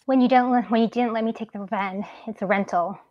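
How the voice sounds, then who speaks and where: An exasperated, defensive grown daughter, voiced by a young woman. She speaks sharply in a fairly high voice, and the video call sound is a bit muffled. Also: steady tone, brisk, close to an everyday voice. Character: frustrated adult daughter, defensive.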